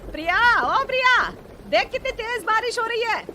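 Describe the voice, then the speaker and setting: Woman acting a shrill, bossy Hindi cartoon mother-in-law. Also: animated, moderate pace, bright, forceful tone, a little hiss or hum, heavily acted. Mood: scolding. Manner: scolding, dramatic, exclamatory. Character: bossy, nagging middle-aged mother-in-law Suman. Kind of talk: Hindi animated moral story dialogue.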